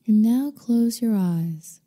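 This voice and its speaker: A woman guiding a meditation in slow scripted narration, with a soothing, unhurried, gently instructing manner. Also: on the high side. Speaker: meditation guide. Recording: long reverberant hall-like echo.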